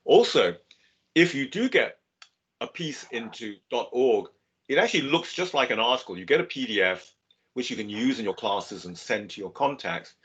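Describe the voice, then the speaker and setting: A man giving a measured, steady webinar lecture over a video call. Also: mid-range voice, husky. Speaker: guest lecturer. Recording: dull lo-fi video call audio.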